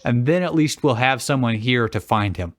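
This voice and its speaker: A man's voice: medium pitch, animated, normal speed, no room sound, natural voice. A man plays a practical young adventurer directing companions in a storybook fan fiction. He is sensible and plain, recorded close and dry. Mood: brisk, practical. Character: practical young man organising a search party.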